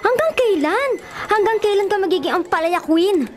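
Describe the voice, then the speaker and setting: A chirpy young Filipina matchmaker with a high, swooping voice. She prods and teases in exclamations, in radio drama style over background music. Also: lively intonation, normal speed. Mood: prodding, exasperated. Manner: exclamatory, prodding, teasing. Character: chirpy, meddling young matchmaking best friend. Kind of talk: Tagalog radio drama acting.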